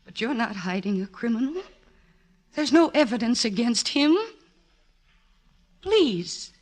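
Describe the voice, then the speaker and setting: A woman's voice: fairly high pitch, expressive, slow, a little mellow. An actress playing an earnest woman psychiatrist in love, emotional and insistent, in 1940s radio drama style. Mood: pleading.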